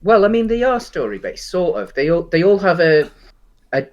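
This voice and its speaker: A man co-hosting a podcast, discussing film casually in a halting, explanatory way. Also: fairly high pitch, sing-song, highs cut off. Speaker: podcast co-host.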